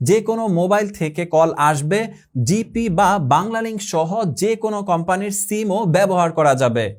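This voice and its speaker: A man from Bangladesh delivering a scripted sales pitch, briskly listing product features, prices and uses. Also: darkish tone. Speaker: product promoter. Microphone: handheld phone shown on camera.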